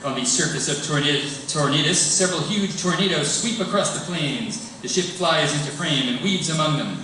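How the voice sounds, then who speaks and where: A man's voice: fairly high pitch, normal speed, bright, forceful tone. A man reading scripted stage directions as narrator at a live table read. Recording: noisy echoey hall from far off.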